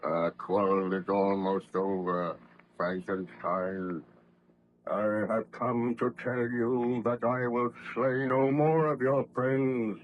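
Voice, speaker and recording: A man's voice: mid-range voice, narrow, tinny audio. A man voicing a bitter, menacing man-made monster in an old-time radio horror play, with ponderous, declamatory delivery. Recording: noisy vintage radio recording.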